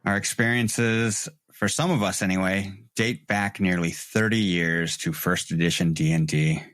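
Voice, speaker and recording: A man's voice: low voice, steady tone, unhurried, a bit bright, no room sound. Easygoing man hosting, dry close sound. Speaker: game master and host. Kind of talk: casual podcast intro.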